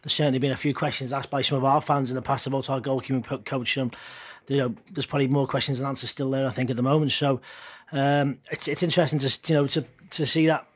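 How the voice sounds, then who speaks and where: A man talks quickly through his view in a relaxed football podcast, chatty and a little rambling. He doubles up words as he goes, and his voice sits at a middling pitch. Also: slightly rough. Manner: chatty, loose, repeats words. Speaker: podcast pundit. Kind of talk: casual football chat.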